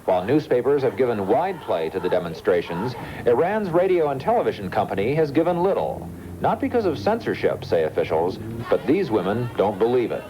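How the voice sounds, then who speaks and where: A man's voice: mid-range voice, very animated, fairly quick, music in the background, rough recording. An American man narrating a scripted news report as a foreign correspondent.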